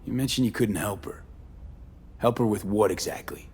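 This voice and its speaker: An actor voicing a tough, determined street mercenary in a video game, blunt and terse. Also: male voice, on the low side, moderate pace, a little mellow, slightly rough. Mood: probing. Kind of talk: video game character acting.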